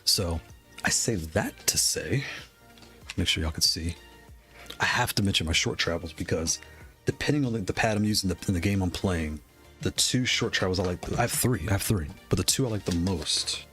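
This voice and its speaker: A man's voice: sits low, animated, moderate pace, faint music behind. A man casually chatting on a live stream, rambling, greeting viewers and laughing, with a voice worn from losing it. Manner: rambling, shouts out chat viewers, laughs often. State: losing his voice.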